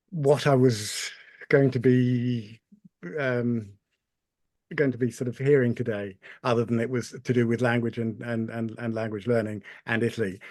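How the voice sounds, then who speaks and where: A man's voice: mid-range voice, darkish tone, dry recording. A man on a video call joining a panel discussion, thoughtful and hesitant, often repeating words. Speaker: panel participant.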